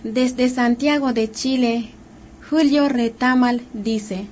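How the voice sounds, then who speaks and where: A woman hosting a community radio show, slowly introducing listener messages in a measured way. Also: fairly high pitch, fairly even intonation, over music. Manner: slow, measured address to listeners. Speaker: radio host. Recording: dull lo-fi community radio sound.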